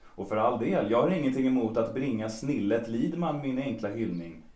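An actor playing a cynical, self-destructive bohemian composer in a period audio drama, world-weary and mocking, with self-pity underneath. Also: male voice, mid-range voice, expressive, a little mellow, lightly acted. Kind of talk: period stage-play audio drama acting in Swedish. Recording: roomy, echoing sound.

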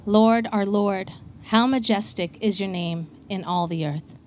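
A woman reads a psalm aloud to the congregation in a slow, emphatic, solemn way. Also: mid-range voice, a little hiss or hum. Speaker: scripture reader. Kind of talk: measured scripture reading.

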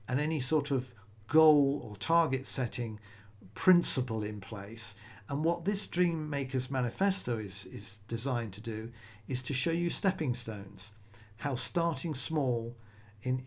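A man's voice: medium pitch, darkish tone. A middle-aged man hosting a solo podcast, talking in a conversational, rambling way with frequent restarts. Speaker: podcast host.

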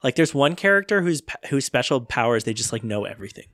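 A man's voice: medium pitch, very animated, fast, a bit bright, no room sound. A man hosting a casual podcast in a conversational way. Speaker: podcast host.

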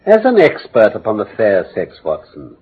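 Theatrical English detective, animated, husky. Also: male voice, lowish voice, moderate pace, full character voice. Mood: analytical, animated. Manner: precise, emphatic, theatrical, formal diction. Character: brilliant, brisk, commanding male English consulting detective. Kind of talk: classic British radio drama acting. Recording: muffled old radio broadcast.

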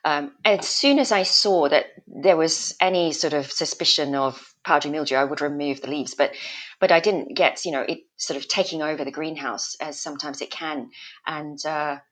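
A woman with a low, warm speaking voice talks as a podcast guest about her garden. She grew up in Australia after a Malaysian childhood, and she chats casually, stumbling over restarts and breaking into laughter. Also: moderate pace. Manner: chatty, hesitant, many restarts and laughs.

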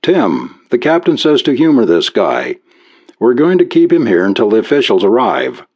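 A brisk American patrolman acted by a male reader in a storybook reading. He is plain-spoken and skeptical, with an animated delivery lifted above plain narration. The recording sounds a bit dull. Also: medium pitch, voice pushed up. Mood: urgent, official.

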